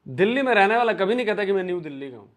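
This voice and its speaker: A man with a slightly high voice hosts a reaction video and comments with wry skepticism. He talks casually, as if to regular viewers. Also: lively intonation, racing. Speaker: reaction channel host.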